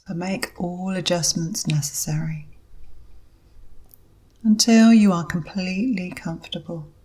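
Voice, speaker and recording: A woman with a fairly low voice guides a meditation at a very slow pace. She speaks as a yoga instructor, in short, measured phrases separated by pauses. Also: fairly even intonation, dark tone, breathy edge, low-quality recording.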